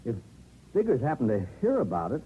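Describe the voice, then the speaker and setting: An actor playing a nervous, insistent small-town newspaper editor, agitated and hesitant, in 1950s radio drama style. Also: male voice, medium pitch, huge pitch swings, a little fast. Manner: agitated, hesitant under pressure. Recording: dull, faintly noisy old radio recording.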